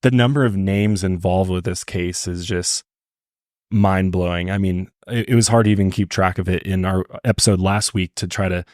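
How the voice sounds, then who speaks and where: A hoarse male podcast host, chatty and rambling, talking in a studio. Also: low-pitched, steady tone, normal speed, a little mellow, no echo. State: hoarse from illness. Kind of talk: podcast interview.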